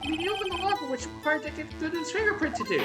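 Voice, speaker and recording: Jittery, obedient male rookie cop for a visual novel, played by a woman; high-pitched, yelping, very animated. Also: very fast, over loud music. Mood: earnest, insistent. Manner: yelps, ends phrases with a dutiful "sir". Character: jittery, overeager, obedient young male police officer. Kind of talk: exaggerated visual novel character voice.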